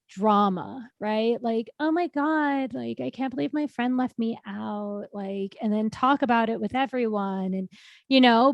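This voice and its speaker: Lively laughing woman podcast co-host. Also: on the high side, no room sound, highs cut off. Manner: animated, laughs often, quick asides. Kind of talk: casual chat.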